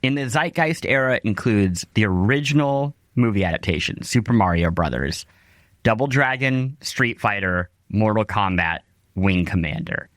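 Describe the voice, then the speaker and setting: A man's voice: fairly low pitch, expressive, normal speed, a bit bright, no echo. A man hosting a podcast, reading scripted narration in an articulate, emphatic way. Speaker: podcast host.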